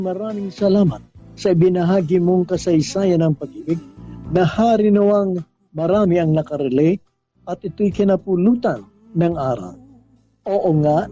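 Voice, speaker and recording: A man hosting a radio drama, giving scripted, sentimental advice to a letter writer in deliberate, emphatic phrases. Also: high-pitched, mellow. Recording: muffled audio, faint music bed.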